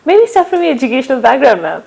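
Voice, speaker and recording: A woman's voice: high-pitched, big pitch swings, fast, gravelly, a little mellow, husky. A woman interviewing a guest, asking polite questions and giving short acknowledgements.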